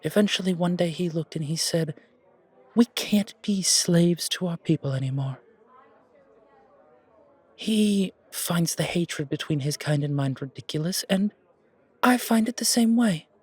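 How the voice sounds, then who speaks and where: A man playing an elegant, wry fantasy coffee shop owner in an audio roleplay, with deliberate, formal, theatrical phrasing. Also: fairly high pitch, lively intonation, a little mellow, breathy edge, voice pushed up. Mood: earnest.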